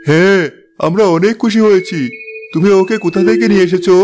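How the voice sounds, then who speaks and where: Man as a gentle cartoon king, slightly high male voice, reassuring, kindly, music behind. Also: fairly even intonation, quick pace, lightly acted. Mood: pleased, kindly. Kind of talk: fairy-tale cartoon dubbing.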